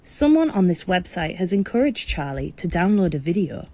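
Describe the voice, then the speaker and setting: A woman narrates an educational game from a script, in a mellow, fairly low voice with lively intonation. It is instructional in style, played as game audio with some noise behind it. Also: normal speed.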